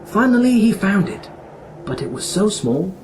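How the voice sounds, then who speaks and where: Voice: mid-range voice, very animated, normal speed, a crowd in the background. A narrator telling a children's fairy tale in a dramatic, wondering storytelling manner. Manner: wondering, suspenseful questioning.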